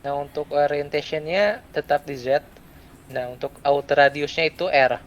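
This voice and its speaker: Young guy doing a software walkthrough, kinda high voice, stops and starts, some noise behind him. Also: lo-fi sound. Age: young adult. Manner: halting, pausing between short phrases. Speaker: student presenter giving a software tutorial. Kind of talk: step-by-step screen tutorial, unscripted. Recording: clear background noise.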